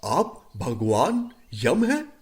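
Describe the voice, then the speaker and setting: A man voicing an honest, astonished merchant asking wondering questions in an animated myth tale. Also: mid-range voice, drawn-out words, heavily acted. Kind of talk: animated mythological storybook dialogue.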